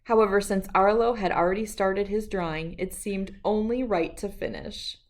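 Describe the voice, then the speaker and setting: A woman reading a children's storybook aloud with expressive, deliberate, emphatic phrasing. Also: medium pitch. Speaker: children's book read-aloud host.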